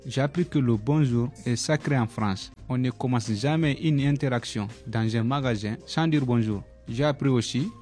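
A young male student with a Guinean accent tells of his own experience in a prepared testimony. His voice sits at a middle pitch, with faint music behind it. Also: normal speed, hardly any pauses, darkish tone.

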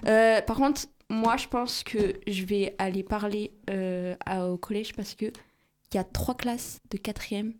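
A woman's voice: mid-range voice, a little slow, darkish tone. A teenage girl chatting casually, telling stories with many fillers. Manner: chatty, upbeat storytelling with fillers. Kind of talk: casual chat.

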